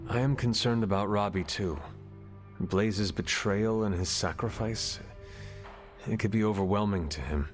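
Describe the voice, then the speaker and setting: An actor playing a grave, measured leader who gives formal, caring counsel in a video game cutscene. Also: male voice, on the low side, faint music behind, close to an everyday voice. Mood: concerned, puzzled. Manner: formal, avoids contractions.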